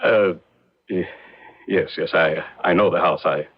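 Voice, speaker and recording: Deep, husky real estate agent. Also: male voice, unhurried. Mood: uneasy, frank. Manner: hesitant, frank. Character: frank, uneasy male real estate agent. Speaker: radio drama actor. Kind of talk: old-time radio drama acting. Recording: dull vintage radio drama recording.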